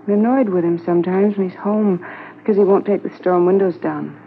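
A woman's voice: medium pitch, fairly even intonation, fairly quick, lightly acted. A woman playing a wistful, anxious but affectionate policeman's wife in a reflective, conversational 1950s radio drama style. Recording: muffled noisy 1950s radio transcription.

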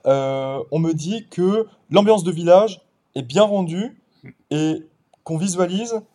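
Mellow young man musing at length. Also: high-pitched. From Paris suburbs, France. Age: 20s. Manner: thoughtful, explains at length with frequent pauses. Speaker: novelist guest. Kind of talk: casual literary interview.

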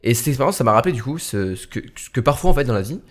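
A man's voice: medium pitch, big pitch swings, very fast. A young man hosting his student podcast, talking casually and tiredly late at night, with lots of hesitations and restarts. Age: young adult. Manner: hesitant, many fillers and restarts. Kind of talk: casual solo podcast talk.

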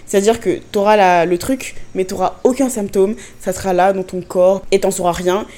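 A woman's voice: mid-range voice, fairly even intonation, moderate pace, mellow. A young woman from France hosting a podcast, chatting casually and giving big-sister advice, dropping English slang into her French. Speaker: podcast host. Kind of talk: casual confessional podcast talk.

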